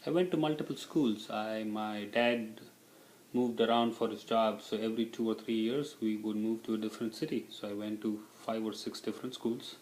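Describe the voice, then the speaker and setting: A man's voice: lowish voice, steady tone, moderate pace, darkish tone, roomy sound, a little hiss or hum, rough recording. A middle-aged man from India, a native Tamil speaker with an Indian accent, answering interview questions in a casual, reflective way.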